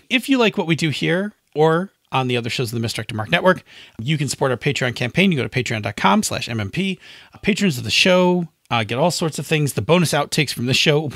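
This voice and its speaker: Male podcast co-host, casual banter, swooping higher-pitched delivery, dry recording. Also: fairly bright tone, dry recording.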